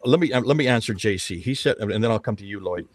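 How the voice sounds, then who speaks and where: A middle-aged man talks on a panel with a lively, rising and falling pitch. He repeats phrases for emphasis and often restarts. His voice is close and dry. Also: fast talker, no echo. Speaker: host of a panel discussion, street preacher. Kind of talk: animated casual panel talk.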